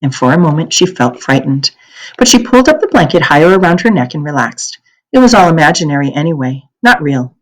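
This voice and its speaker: A woman reading a children's novel aloud as an expressive narrator. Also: deep voice, a little fast, rough recording.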